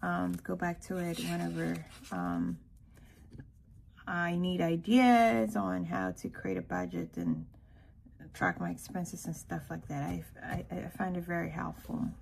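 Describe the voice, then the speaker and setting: A woman from Ghana giving a casual walkthrough narration in an unhurried way, with hesitant pauses. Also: lowish voice, sing-song, gravelly, a little mellow, a little hiss or hum. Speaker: planner vlogger.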